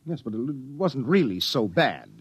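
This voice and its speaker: A man's voice: medium pitch, big pitch swings, measured pace, slightly rough. An actor playing an uneasy, skeptical young man in a 1950s radio drama, hesitant and questioning. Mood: uneasy, curious. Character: uneasy young male visitor, skeptical and shaken. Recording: dull lo-fi old radio recording.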